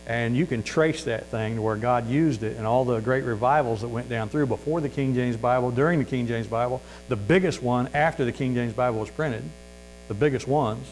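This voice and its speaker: Folksy Missouri preacher, faint hum. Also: male voice, medium pitch, fairly quick, darkish tone, poor audio. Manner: folksy and earnest, many fillers and restarts. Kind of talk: sermon.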